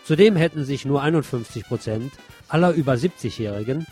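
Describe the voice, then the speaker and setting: A man's voice: medium pitch, animated, fairly quick, darkish tone, soft background music. A man presenting scripted radio news, reading reports and adding wry, sardonic asides.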